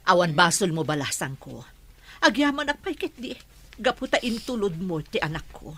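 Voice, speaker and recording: Radio drama mother: middle-aged, protective, fretful, with a bright husky edge. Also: mid-range voice, normal speed, heavily acted. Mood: concerned, grateful. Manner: expressive, fretful. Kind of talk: Filipino radio drama acting.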